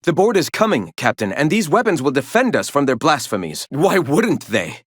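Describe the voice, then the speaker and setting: An actor playing a zealous leader of a philosophical rebel movement in a video game, speaking in grandiose, preachy and solemn oratory. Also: male voice, fairly high pitch, big pitch swings, moderate pace, husky, no echo, studio quality. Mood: fervent, alarmed. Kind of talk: video game dialogue acting.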